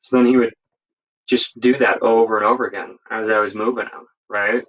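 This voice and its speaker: A man casually telling work stories as a guest, in a halting, informal way and often correcting himself. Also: fairly low pitch, fairly even intonation, fairly bright tone, highs cut off. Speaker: guest, emergency medical worker sharing stories. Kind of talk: casual storytelling.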